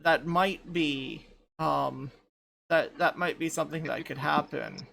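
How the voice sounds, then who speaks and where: A woman in her thirties in casual group chat, light voice without chest resonance, talking haltingly with many restarts. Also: deep voice, vocal fry, fairly bright tone, slight background noise. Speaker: podcast panelist.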